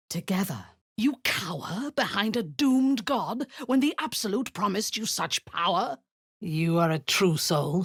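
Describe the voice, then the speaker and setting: An imperious, husky warrior-commander. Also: female voice, no echo, clean studio sound, full character voice. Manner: low, husky, half-whispered menace. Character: cold, imperious woman warrior-commander, zealous cultist. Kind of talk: video game character acting.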